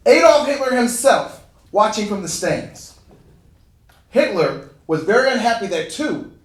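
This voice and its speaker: A man playing a proud Olympic medalist and public servant in a scripted stage monologue, recited in a deliberate, formal way. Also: high voice. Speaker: presenter in a dramatic reading. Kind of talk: first-person scripted historical monologue. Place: indoor room at a live event. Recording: roomy, echoey live hall recording.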